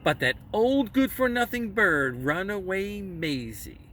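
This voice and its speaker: A man reading a rhyming children's story aloud in a theatrical, deliberate way with emphatic phrasing. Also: high voice, big pitch swings, sharp tone. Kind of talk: dramatic children's story narration in rhyme. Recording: distant mic with faint background noise.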